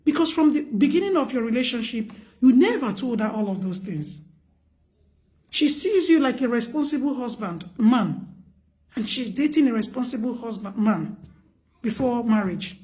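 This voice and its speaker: Woman, high pitch, loud voice, earnest, preachy, emphatic, live-stream advice host. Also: lively intonation, normal speed.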